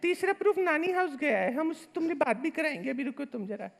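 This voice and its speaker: A woman's voice: high voice, animated, fast. A woman in a live comedy skit playing a whiny, theatrical, clingy jilted young woman who demands marriage, with an Indian accent that slips into Bihari. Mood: bossy, nagging. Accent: Indian, slipping into Bihari. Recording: echoey hall, live stage.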